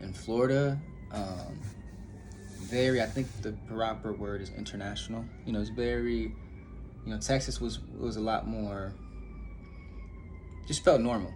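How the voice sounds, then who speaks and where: A man's voice: lowish voice, measured pace, long pauses, darkish tone, slight background noise. A young man from Indiana talking candidly to camera in a loose, rambling vlog, stopping and restarting often with lots of fillers. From Indiana, USA. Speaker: vlogger. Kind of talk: casual rambling monologue.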